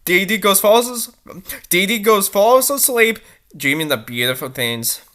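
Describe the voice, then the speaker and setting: A woman's voice: mid-range voice, lively intonation, measured pace, fairly bright tone. A woman reading a story aloud for comedy, with sarcastic, amused commentary in a mocking style. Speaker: reader and commentator.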